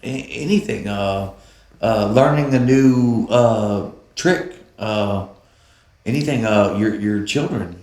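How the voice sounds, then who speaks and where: Low-voiced man, hesitant host. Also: takes it slowly, a little mellow, roomy sound. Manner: hesitant asking, many "uh"s. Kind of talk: casual podcast interview.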